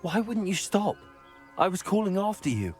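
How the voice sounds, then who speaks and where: An actor playing an earnest, concerned young student hero in a video game, speaking in a questioning way. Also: male voice, sits high, big pitch swings, normal speed, darkish tone, soft background music. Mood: urgent, reproachful.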